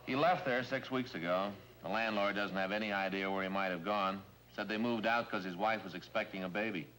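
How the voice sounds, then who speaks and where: A man playing a brisk, practical police detective partner in a 1950s crime drama, with a businesslike manner. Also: on the low side, bright, forceful tone. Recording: slightly noisy old 1950s soundtrack.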